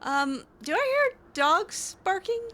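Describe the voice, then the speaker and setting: A woman's voice: very high-pitched, big pitch swings, takes it slowly, sharp tone, a little hiss or hum. A woman improvising casual in-character dialogue as she plays a role-playing game, chatty and hesitant with a lightly sardonic edge. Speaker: gamer role-playing her character aloud.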